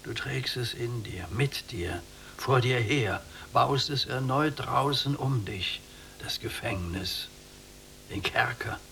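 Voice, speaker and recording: An actor playing a weary, bitter old prisoner in a German radio drama, speaking in a gravelly, resigned and declamatory way. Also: male voice, mid-range voice, slow, pushed and bright, slight background noise, heavily acted.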